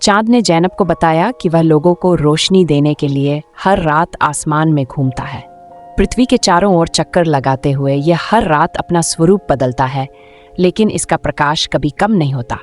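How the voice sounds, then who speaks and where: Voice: medium pitch, normal speed. A narrator reading a scripted children's story in a storytelling style. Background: music.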